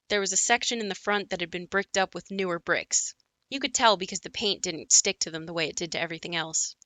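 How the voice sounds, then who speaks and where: Actress as reflective woman looking back on childhood, audio play monologue, crisp bright tone, dry recording. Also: medium pitch, no echo, close to an everyday voice. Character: woman recalling her haunted childhood home, reflective. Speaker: narrator of her own memories.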